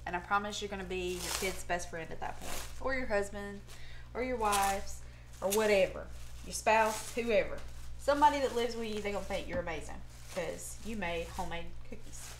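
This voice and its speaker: A woman hosts a casual home cooking demonstration with a mid-pitched voice. She is chatty and folksy, using informal, slangy phrasing. The recording is made in a kitchen from a step or two away, with clear background noise and clicks. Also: moderate pace, rough recording. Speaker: home cooking show host. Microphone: camera a step or two away.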